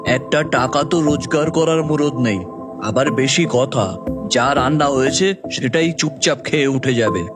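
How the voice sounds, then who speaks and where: A man's voice: mid-range voice, measured pace, lightly acted. A man playing a sarcastic, scolding middle-aged father in a cartoon story. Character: scornful, nagging middle-aged Bengali father. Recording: music bed under voice.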